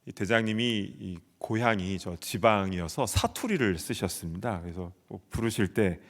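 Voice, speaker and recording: A man's voice: on the low side, moderate pace. A man in his forties from South Korea, preaching a sermon as pastor and telling a personal story earnestly. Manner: earnest storytelling, shouting out quoted soldier replies. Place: church sanctuary. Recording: long hall reverb.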